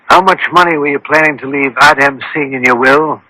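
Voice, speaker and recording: Bright, incisive genius detective. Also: male voice, medium pitch, fairly quick, dry recording, rough recording. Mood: probing. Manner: precise, explanatory, commanding. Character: brilliant, methodical male scientist detective called the thinking machine. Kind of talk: radio mystery drama acting.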